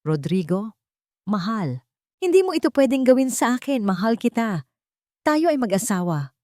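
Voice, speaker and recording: A woman's voice: a little high, sing-song, moderate pace, mellow, no echo, studio quality, voice pushed up. A woman voicing a scheming, desperate rich wife in an audio drama, pleading dramatically.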